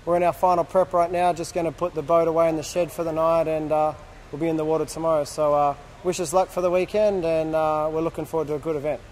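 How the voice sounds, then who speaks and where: Brief: young male host, slightly high voice, cheerful and jokey, outdoor handheld vlog. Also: little pitch movement, fast talker, darkish tone, slight background noise. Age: young adult. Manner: cheerful, joking, reads questions aloud. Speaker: sailor hosting a fan Q&A. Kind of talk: casual on-camera chat. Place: outdoors at a boat tent by a harbour. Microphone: handheld camera close up.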